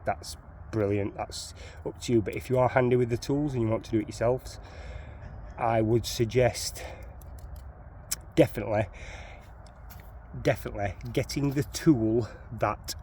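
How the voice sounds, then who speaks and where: A man's voice: medium pitch, fairly even intonation, moderate pace, dark tone, a little hiss or hum. A man with a Sheffield accent, walking through a van repair in a chatty, rambling way, repeating his words as he goes, filmed on a handheld phone outdoors. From Sheffield, England. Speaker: DIY van owner. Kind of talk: casual how-to walkthrough.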